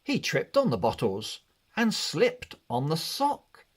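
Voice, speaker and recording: Guy reading a kids picture book aloud, really slow n measured, voice goes up and down loads. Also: on the high side, breathy edge. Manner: expressive, deliberate, measured delivery. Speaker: children's story reader. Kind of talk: read-along storybook narration.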